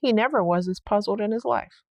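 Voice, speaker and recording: A woman's voice: medium pitch, brisk, a little mellow, dry recording, natural voice. A woman voicing a flustered, deferential housekeeper in a storybook read-aloud, explaining hesitantly and respectfully. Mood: worried, deferential.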